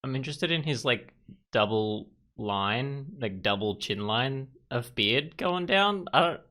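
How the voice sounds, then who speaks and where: A man's voice: mid-range voice, lively intonation, unhurried, sharp tone. A man hosting a let's play, giving casual commentary on the game. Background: game music.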